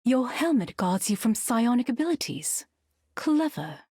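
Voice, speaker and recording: High, husky, shrewd game character. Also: female voice, sing-song, measured pace, warm tone, no echo, clean studio sound, exaggerated voice. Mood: cool, observant. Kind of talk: video game character acting.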